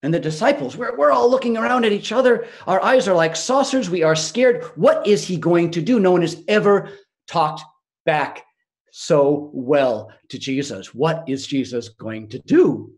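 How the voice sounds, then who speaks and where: A man acting an awestruck male disciple, telling the story in a reflective, wondering first-person monologue with emphatic pauses. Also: on the high side, animated. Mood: astonished. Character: awestruck male disciple recounting his teacher. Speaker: actor in a biblical monologue.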